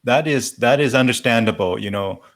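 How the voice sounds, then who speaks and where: A man hosting a casual live interview, speaking in halting, measured phrases. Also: medium pitch, a bit bright. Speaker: talk show host.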